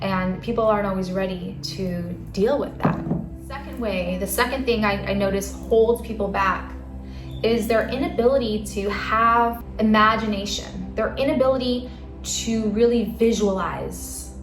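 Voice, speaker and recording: A soft-spoken woman giving a casual spiritual talk to camera in a calm, earnest way. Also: fairly high pitch. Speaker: spiritual channel host. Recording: roomy echo, faint music.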